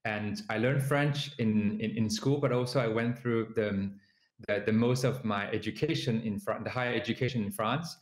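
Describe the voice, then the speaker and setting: A man's voice: on the low side, normal speed. A man from southern China with a Chinese accent, an entrepreneur guest speaking hesitantly with many fillers. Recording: roomy sound.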